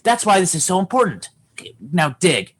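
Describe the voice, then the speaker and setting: A woman's voice: on the low side, big pitch swings, moderate pace, very noisy. A woman playing a cocky, mischievous know-it-all child in comedy radio theatre, insistent and blustering. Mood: flustered disgust.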